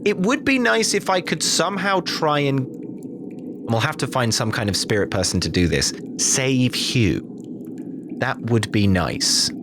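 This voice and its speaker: A man's voice: medium pitch, sing-song, measured pace, noisy background. A man playing a vengeful, scheming gang boss in an improvised tabletop game, blunt and theatrical. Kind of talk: improvised tabletop role-play. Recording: music behind the voice.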